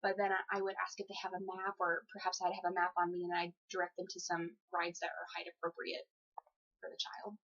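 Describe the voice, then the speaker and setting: A woman's voice: fairly low pitch, completely flat delivery, a little fast, a bit bright. A young adult woman giving conversational answers as a job applicant in a phone interview, with a polite, easygoing, giggly manner. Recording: dull lo-fi phone interview recording.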